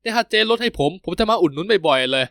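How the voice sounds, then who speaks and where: A tall, rich young boss talks bluntly and crudely, cocky and teasing, as a man reads him. The voice sits fairly high for a man and is recorded close and dry, like a character in a read-aloud novel. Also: lively intonation, fast, sharp tone, smooth, no echo.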